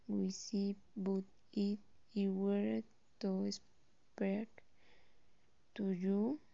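Slow, hesitant teen girl with Costa Rican accent. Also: mid-range voice, vocal fry, dark tone, no room sound, highs cut off. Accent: Costa Rican Spanish. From Costa Rica. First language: Spanish. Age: teens. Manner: halting, with pauses and self-corrections. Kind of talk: reading a personal introduction.

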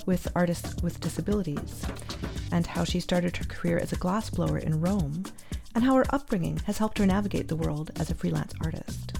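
A woman podcast host with a lowish, gravelly voice reads her introduction clearly and evenly. Music plays plainly behind her. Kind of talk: scripted intro and interview questions.

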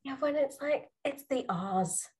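A woman's voice: high voice, lively intonation, measured pace, darkish tone. A young British woman, a university student, chatting casually with lots of fillers. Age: young adult. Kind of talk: casual chat.

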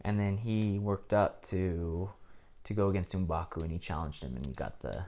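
Low-voiced man rambling through plots. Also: moderate pace, mellow, a little hiss or hum. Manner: rambling, retells plots with many ums. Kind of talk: casual chat about cartoons and films.

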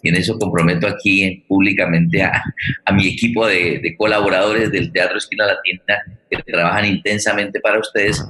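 A man's voice: deep voice, pushed and bright, rough recording. A man with a Colombian accent hosting an unscripted live online show, talking at length and often repeating words as he feels for the next one. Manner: wordy, halting, repeats words. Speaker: host.

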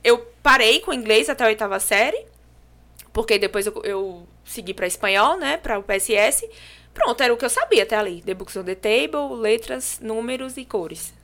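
A young Brazilian woman from João Pessoa chats on a podcast, telling her story with lively energy. Her voice is bright and fairly high, with a clear, forward ring. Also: normal speed. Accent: Brazilian Portuguese, from João Pessoa. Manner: lively, animated storytelling with restarts and laughs. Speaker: podcast guest. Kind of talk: casual podcast chat.

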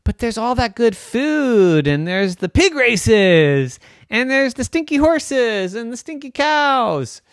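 A woman's voice: lowish voice, big pitch swings, normal speed, dry recording, clean studio sound, pitched above the performer's own voice. A woman voicing a reassuring, slightly exasperated mother in a bedtime story, coaxing and emphatic. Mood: surprised, coaxing.

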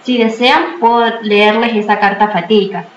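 A woman's voice: fairly high pitch, fairly even intonation, normal speed, natural voice. A woman playing a policewoman reporting findings in an amateur radio play. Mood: formal. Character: policewoman explaining investigation findings. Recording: dull, echoey, faint noise.

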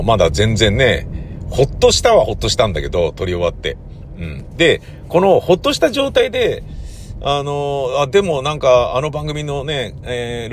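Male solo talker, lowish voice, informal and meandering, with vehicle-like rumble behind. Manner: rambling, thinking aloud. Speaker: radio drama writer and director. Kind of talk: casual solo talk.

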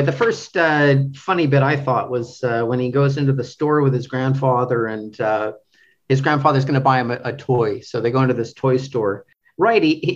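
A man speaking as an interview guest, a translator discussing a book casually, in a halting way, rephrasing as he goes. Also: medium pitch, muffled recording.

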